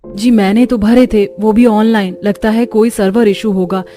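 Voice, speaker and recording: A woman acting as a young daughter-in-law in a cartoon. She speaks earnestly at a medium pitch over light music. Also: fairly even intonation, moderate pace, dark tone, natural voice. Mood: matter-of-fact. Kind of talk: Hindi animated story dialogue.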